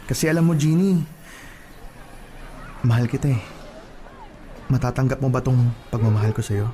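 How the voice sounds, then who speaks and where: A man playing an earnest, lovesick plumber in an audio drama, pleading in a halting, sentimental way. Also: mid-range voice, noisy background, natural voice. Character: lovesick, earnest plumber suitor, wounded yet patient. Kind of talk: radio-drama style acting.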